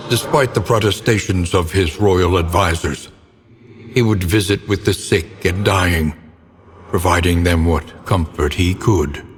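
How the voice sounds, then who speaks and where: A man playing a grave, ominous older narrator of gothic horror tales in a video game, with solemn, theatrical and deliberate storytelling. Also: low-pitched, breathy edge, in a large hall, full character voice.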